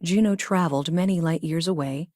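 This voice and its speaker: A woman playing an emotionless, logical female AI space probe in a video game, speaking in a clipped, formal, deadpan way. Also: fairly low pitch, brisk, no room sound, clean studio sound, natural voice. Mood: flat, analytical. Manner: clipped, formal, deadpan, announces each statement type first. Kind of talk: scripted game dialogue.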